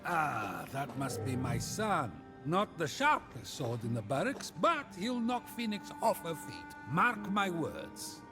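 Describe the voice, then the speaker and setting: A man's voice: a little high, big pitch swings, takes it slowly, hardly any pauses, over music. A man voicing a wry, boastful father god who comments on a story in a video game. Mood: smug, amused. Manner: wry, interjecting.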